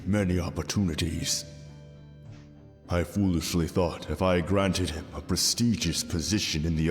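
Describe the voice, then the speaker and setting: A deep-voiced, fatherly old king of the gods played by a man addresses the listener in an audio roleplay. He speaks slowly and formally, with stately benevolence. His voice rings through a long reverberant hall over music. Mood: grave, regretful. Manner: formal, stately, measured delivery. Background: soft background music.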